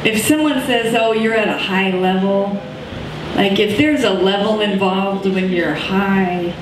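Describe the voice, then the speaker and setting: Middle-aged woman comic, rambling deadpan delivery, heard through a roomy echo from across the café. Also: mid-range voice, unhurried, background noise. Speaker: open mic comedian. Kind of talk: stand-up comedy set. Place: small coffee shop stage, indoors. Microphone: handheld mic, far camera. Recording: echoey room from far off.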